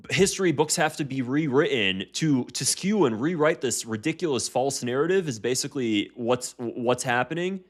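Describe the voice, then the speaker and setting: A man's voice: mid-range voice, fairly bright tone. Heated American male commentator. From United States. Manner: heated, repetitive restarts. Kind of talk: reaction commentary.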